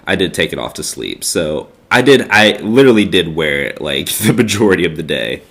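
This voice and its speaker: A man's voice: sits low, big pitch swings, a little fast, fairly bright tone. A young man co-hosting a casual podcast, congested with a stuffed-up nose, rambling with lots of fillers. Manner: rambling, filler-heavy, laid-back. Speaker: podcast co-host. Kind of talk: casual chat.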